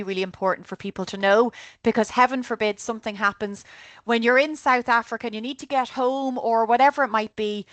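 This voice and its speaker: A woman's voice: medium pitch, no echo. A woman with an Irish accent, director of sales at a tour company, giving a chatty online group presentation and often checking in with "okay?". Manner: chatty, keeps adding "okay?".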